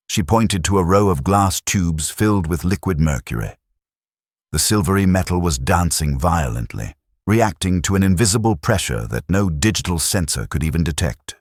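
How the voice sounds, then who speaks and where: Deep-voiced audiobook narrator, swinging dramatic intonation. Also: male voice, breathy edge, dry recording, studio quality.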